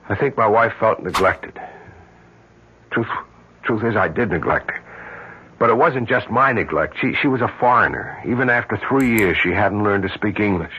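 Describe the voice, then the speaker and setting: Deep, husky, sombre middle-aged doctor. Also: male voice. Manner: halting, sombre. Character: haunted, self-reproachful middle-aged doctor. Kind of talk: old-time radio drama acting. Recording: muffled old radio recording.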